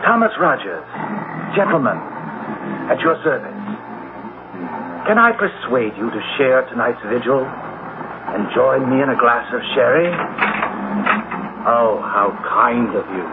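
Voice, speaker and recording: An actor playing a flirtatious gentleman passenger at sea in a 1970s radio drama, genteel and theatrical. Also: medium pitch, expressive, a little slow, pauses often, narrow, tinny audio, lightly acted. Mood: suave, flirtatious. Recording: noisy, band-limited old radio recording.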